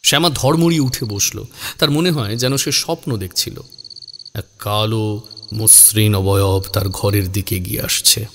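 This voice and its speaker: A man's voice: on the low side, unhurried, a bit bright, a little hiss or hum. A man narrating a scripted horror story in a dramatic style.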